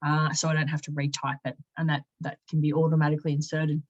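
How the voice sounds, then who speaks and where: Woman, lowish voice, conversational software demo presenter talking through each step, slightly dull recording. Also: monotone, fast talker. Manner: explains step by step, thinking aloud while demonstrating. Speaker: software demo presenter, sales and marketing director. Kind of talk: conversational screen-share product tutorial.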